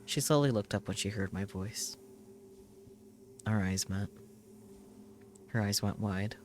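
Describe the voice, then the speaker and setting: Male streamer narrating visual novel: low pitch, grave, measured, halting dramatic read, slight background noise. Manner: solemn, measured, short halting phrases. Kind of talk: dramatic scripted first-person narration.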